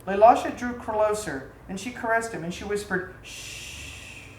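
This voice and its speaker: A woman reading a literary story aloud as a storyteller, with dramatic, deliberate phrasing. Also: medium pitch, a little mellow. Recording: echoey room, faint background noise.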